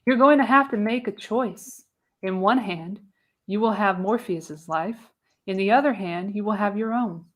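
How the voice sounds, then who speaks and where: A woman's voice: mid-range voice, unhurried, natural voice. Radio play role: older female seer, folksy, wry, knowing, acted by a woman at a table read. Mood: grave, sympathetic.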